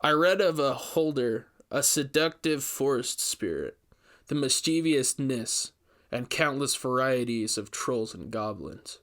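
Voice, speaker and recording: A man hosting and reading a scripted story with a deliberate, theatrical delivery. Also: mid-range voice, a bit bright. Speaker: host and story narrator. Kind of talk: dramatic scripted story narration.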